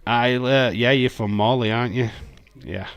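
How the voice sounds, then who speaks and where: A man with a broad Yorkshire accent chatting casually on a livestream, reading out viewer comments, swearing and filling pauses with lots of "uh". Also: mid-range voice, smooth, dry recording. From Yorkshire, England. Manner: chatty, reads viewer comments aloud, swears, many ums. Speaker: livestream host. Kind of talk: casual live watch-along chat.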